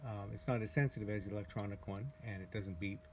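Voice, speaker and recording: A man giving a casual, halting walkthrough as a glider pilot, pausing often as he explains, recorded outdoors on a handheld camera. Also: lowish voice. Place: outdoors in an open glider cockpit in a driveway. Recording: handheld camera recording with clear background noise.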